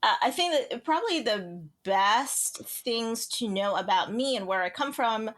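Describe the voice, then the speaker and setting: A woman's voice: fairly high pitch, expressive, a little slow, a bit bright. A woman from Trinidad and Tobago, chatting as an author guest on an interview podcast, rambling with lots of fillers and restarts. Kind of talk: casual interview conversation.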